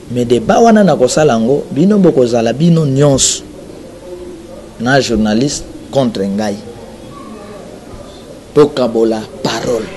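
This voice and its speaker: A man's voice: medium pitch, darkish tone, a little hiss or hum. A man with a Congolese accent preaches in an emphatic, exhorting manner, repeating phrases for weight. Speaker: preacher, self-described prophet. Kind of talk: sermon, religious address mixing two languages.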